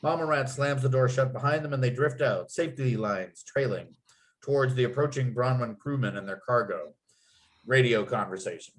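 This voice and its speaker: A man's voice: medium pitch, normal speed. A man reading screenplay stage directions as the narrator of a table read.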